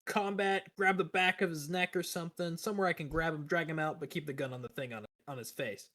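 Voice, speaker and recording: Higher-voiced man at a game table. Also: steady tone, fast talker, pushed and bright. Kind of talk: casual game table chat.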